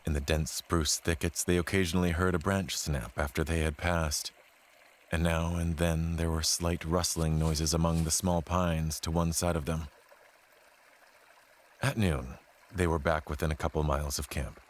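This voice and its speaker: A man gives a measured, solemn, literary narration of a scripted horror tale. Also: extremely low pitch, level tone, slight background noise. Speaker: storyteller narrating an audio play. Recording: music behind the voice. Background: background music.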